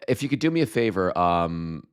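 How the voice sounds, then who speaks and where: A very low-pitched man hosting a casual live stream, his voice smooth and resonant though a slight cold is wearing it down. He rambles and restarts as he reads out viewer comments, and his talk is thick with fillers. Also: sing-song, moderate pace. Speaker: livestream host.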